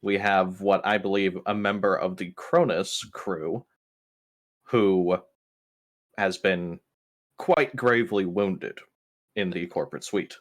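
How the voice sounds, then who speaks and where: A man playing an impatient, blunt spaceship captain in a tabletop game, giving exasperated orders with hesitant pauses. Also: deep voice, fairly even intonation.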